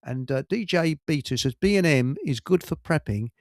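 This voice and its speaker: An English man with a fairly high, very animated voice, recorded close and dry. He hosts casual radio talk, wandering through everyday stories with plenty of colloquial asides and a gravelly creak. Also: normal speed, no room sound. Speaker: radio show host. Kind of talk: casual rambling chat.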